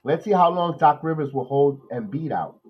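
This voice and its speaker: A man, nasal from a cold, hosting a watch-along podcast, calling plays with excitement and then rambling through his opinions. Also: a little high, normal speed, husky, dull audio. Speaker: podcast host doing live play-by-play. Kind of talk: watch-along game commentary and chat.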